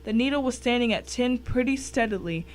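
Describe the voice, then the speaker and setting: A woman's voice: on the high side, a little fast, lightly acted, low-quality recording. A woman playing a grim, wry thriller narrator who recounts a dangerous ordeal in scripted first person.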